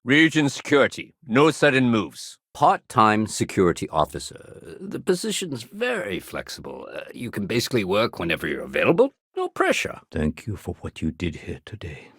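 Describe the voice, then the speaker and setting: A dutiful, somewhat weary security sergeant from a sci-fi game. His voice sits a little low and moves widely in pitch. He talks in a clipped, conversational, businesslike way, recorded close and dry. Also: fairly bright tone, dry recording, heavily acted. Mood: stern, then casual, easygoing, then appreciative, sincere. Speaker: security officer. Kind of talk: video game dialogue acting.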